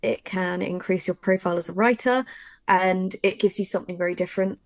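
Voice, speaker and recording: Chatty woman with a creaky, dry-miked voice. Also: fairly low pitch, a little fast, no room sound. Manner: chatty, informal, encouraging. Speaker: audio drama writer giving a workshop. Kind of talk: conversational workshop discussion.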